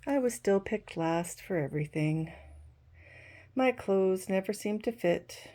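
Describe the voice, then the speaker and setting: Woman reading as a shy, slow-talking uncool bean. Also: medium pitch, animated, warm tone. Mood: self-deprecating. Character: shy, self-deprecating young male bean, wistful storybook narrator.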